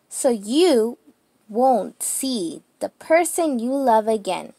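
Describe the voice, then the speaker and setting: A woman reading a children's picture book aloud, deliberately, phrase by phrase with pauses. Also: fairly high pitch, dry recording, clean studio sound.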